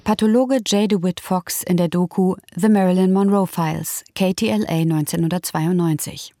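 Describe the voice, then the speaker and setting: Mellow, low-voiced female announcer. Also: steady tone, moderate pace, dry recording. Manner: factual, neutral. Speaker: announcer of sources. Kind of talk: scripted narration.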